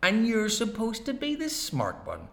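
A man's voice: high-pitched, wildly swooping pitch, unhurried, gravelly, a bit bright, pitched above the performer's own voice, exaggerated voice. A man voicing a mocking teenage big brother who sneers and taunts his younger sibling. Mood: taunting. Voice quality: sneering, mimicking. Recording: echoey room.

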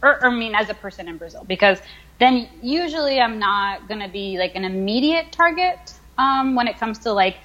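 A woman's voice: a little high, animated, moderate pace, a bit bright, dull audio. A young woman in her twenties from the United States, talking casually as an interview guest, with many fillers as she thinks aloud. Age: 20s. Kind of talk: casual conversation.

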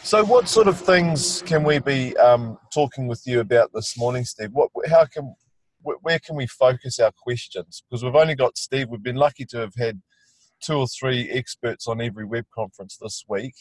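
A New man hosts a school web conference while sitting in a vehicle. His voice is mid-pitched and the sound is close and dry. He speaks casually, with restarts and fillers. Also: moderate pace, no room sound, low-quality recording. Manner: friendly, loose, with fillers and restarts. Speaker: field trip teacher hosting a web conference. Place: inside a vehicle.

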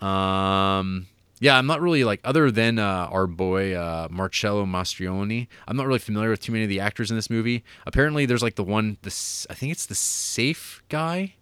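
A low-pitched man with a smooth, resonant voice, chatting loosely about a film on a podcast. He rambles, trails off, and drops wry, deadpan jokes, recorded dry and close to the mic. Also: a little fast, no room sound. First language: English. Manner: rambling, filler-heavy, wry. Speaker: podcast co-host reviewing a film. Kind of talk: casual film review chat.

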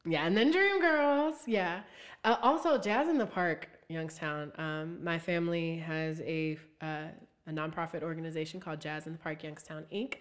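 A young woman with a low-pitched voice talks in a loose, animated way, peppering her sentences with fillers. She is a singer from Ohio chatting as an interview guest. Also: moderate pace. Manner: animated, many fillers like "like" and "um", laughs easily. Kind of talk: casual interview chat.

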